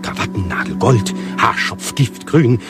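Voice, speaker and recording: A man acts out a self-important avant-garde playwright in an absurd radio satire. He is verbose and declamatory, picking out each word precisely in a mid-pitched voice. Music plays clearly behind him. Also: animated, a little slow, natural voice. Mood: aggrieved, explanatory. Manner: pompous, long-winded, declamatory, precise diction. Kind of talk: satirical radio play dialogue.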